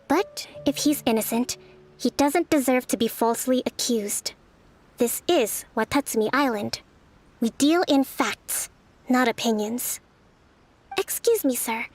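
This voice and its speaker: Game role: gentle but firm young leader, high voice, measured formal delivery. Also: female voice, animated. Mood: calm, firm.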